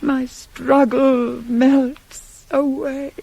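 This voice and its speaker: Tragic queen for radio drama. Proud, tormented, husky, very measured delivery. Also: high-pitched, level tone, mellow, heavily acted, rough recording. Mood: anguished, yielding. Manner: grave, formal, tormented. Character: anguished proud queen, wife and mother, fighting forbidden desire. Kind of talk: 1980s radio play acting of Greek tragedy.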